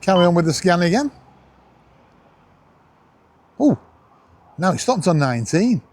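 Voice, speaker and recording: Male vlogger, Northern English accent. Slightly rough, husky tone, highly animated intonation, cheeky self-mocking chat. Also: a little slow. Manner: jokey, animated, self-deprecating. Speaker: vlog host. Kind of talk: casual vlog chat. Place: outdoors at night on a seafront promenade. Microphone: camera worn around the neck.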